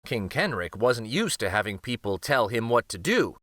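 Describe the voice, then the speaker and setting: A man reading a children's picture book aloud as an expressive storyteller. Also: mid-range voice, moderate pace, no room sound, clean studio sound. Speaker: storyteller, read-aloud host.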